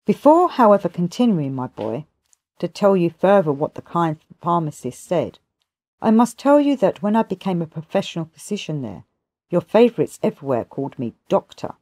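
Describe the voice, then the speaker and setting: A woman narrating a literary audiobook in a measured, formal, deliberate storytelling manner. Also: on the low side, big pitch swings, dark tone, dry recording. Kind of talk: scripted literary narration, storytelling read aloud.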